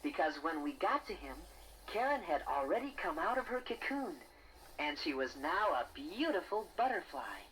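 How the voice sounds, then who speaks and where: A man's voice: a little high, very animated, moderate pace, a bit bright, slight background noise, low-quality recording. A man voicing a friendly, earnest young bear who narrates a children's storybook in a boyish manner. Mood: gentle storytelling. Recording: 1980s cassette with music behind.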